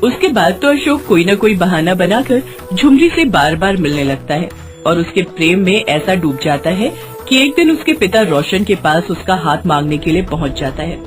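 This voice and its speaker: Very expressive woman storyteller. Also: on the low side, moderate pace. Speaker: story narrator. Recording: music behind the voice.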